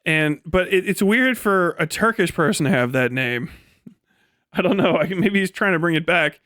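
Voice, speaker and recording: A man with a fairly high, clean and smooth voice talks casually as a live streamer, close and dry with little room sound. He is blunt and sweary, wandering through his opinions in a mix of formal words and slang. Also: lively intonation, moderate pace, a bit bright, no echo. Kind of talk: casual opinionated livestream talk.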